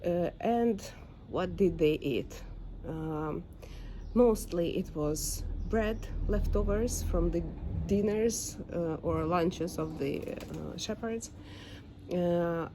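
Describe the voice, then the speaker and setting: A woman's voice: on the low side, takes it slowly, background noise. A young woman with a Ukrainian accent, speaking English as a second language in a casual vlog, hesitating often with fillers while she searches for words. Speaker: dog owner vlogger. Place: home backyard.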